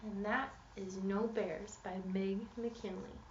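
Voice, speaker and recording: Female teacher for an interactive picture-book read-aloud, expressive storyteller, echoey and slightly muffled room sound. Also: medium pitch, takes it slowly, recorded from a distance, slight background noise. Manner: expressive storytelling, posing questions to young listeners.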